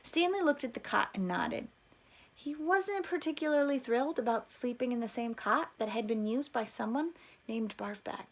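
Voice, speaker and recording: A woman's voice: a little high, animated, moderate pace. A woman reading a children's novel aloud as a teacher would.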